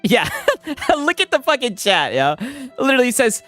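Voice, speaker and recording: A man's voice: very high voice, huge pitch swings, moderate pace, sharp tone, soft background music. A man co-hosting a stream, teasing his partner, laughing often and tagging nearly every phrase with "right?". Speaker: co-host reading chat. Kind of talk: mocking stream banter.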